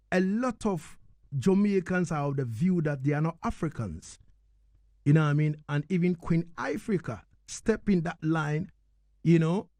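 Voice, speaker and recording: A man's voice: sits high, darkish tone, no room sound, studio quality. A man hosting a live radio interview as presenter.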